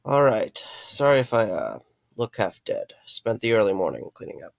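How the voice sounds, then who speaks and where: A man's voice: on the low side, very animated, moderate pace, vocal fry, dry recording. A man playing a hesitant young pizzeria owner. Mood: earnest. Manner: hesitant, with filler words. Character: young man reopening a pizzeria, tired but earnest. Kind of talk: fan audio drama acting.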